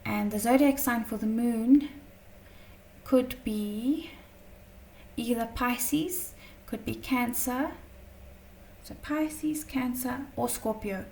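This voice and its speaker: A woman teaching a tarot lesson in a chatty, casual way, her voice slightly croaky from a long day of talking, often checking in with "okay?". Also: fairly high pitch, takes it slowly, a little mellow, distant mic, slight background noise. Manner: chatty, many fillers and "okay?" check-ins.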